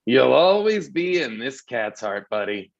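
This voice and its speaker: A performer playing a sardonic, mock-tender gray cat in an animated comedy table read. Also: mid-range voice, a little slow, vocal fry, highs cut off, heavily acted.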